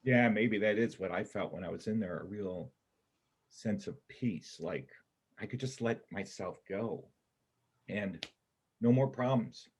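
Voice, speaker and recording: A man playing a wry, self-doubting ex-convict loner with a husky voice and blunt, colloquial speech in a reader's theater play. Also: lowish voice, unhurried. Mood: weary, reflective. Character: Kip, wounded ex-convict drifter, bookish loner, self-doubting. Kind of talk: stage reader's theater dialogue.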